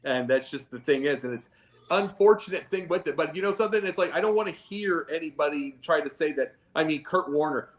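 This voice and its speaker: A man's voice: on the high side, a little fast. Opinionated rambling sports panelist man. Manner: opinionated, rambling, emphatic repeats. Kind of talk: casual sports panel talk.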